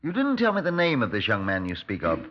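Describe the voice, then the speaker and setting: An actor playing a dry, wry British police superintendent from London in a 1950s radio drama. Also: male voice, fairly low pitch, huge pitch swings, quick pace. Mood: inquisitive, dry. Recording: dull 1950s radio recording.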